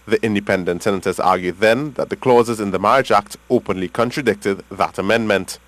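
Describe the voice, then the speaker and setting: Male reporter, Trinidadian, lowish voice, scripted news narration, dry booth sound. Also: fairly quick, no room sound, rough recording.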